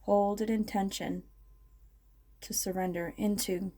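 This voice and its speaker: A woman leading a guided meditation in an ASMR style, speaking in a ceremonial, measured and soothing manner. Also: medium pitch, level tone, mellow.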